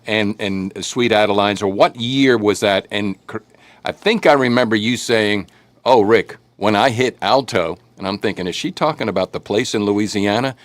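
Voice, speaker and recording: A man's voice: on the low side, normal speed, no echo. Joking man hosting local radio. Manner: jokey, rambling, self-deprecating. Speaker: host. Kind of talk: casual local radio and stream interview.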